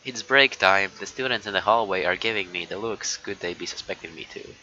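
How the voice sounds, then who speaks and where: A man's voice: deep voice, fairly quick, sharp tone, faint music behind. A man streaming a game, chatting casually with viewers, swearing now and then and reading story text aloud. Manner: swears casually, talks to chat. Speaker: live streamer. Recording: dull lo-fi mic with background noise.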